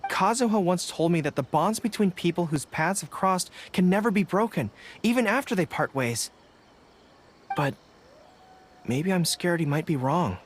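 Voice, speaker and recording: An actor playing an earnest, dutiful young canine general in a video game, speaking in a deliberate, thoughtful way. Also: male voice, high voice, expressive, slight background noise. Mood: wistful, earnest. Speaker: army general answering readers' letters. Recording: background music under the voice.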